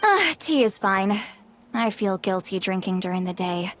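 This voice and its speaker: A woman playing an easygoing, polite and easily flustered young woman in a video game, with an expressive delivery. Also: medium pitch, unhurried. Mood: relaxed, polite. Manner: expressive, hesitant when flustered.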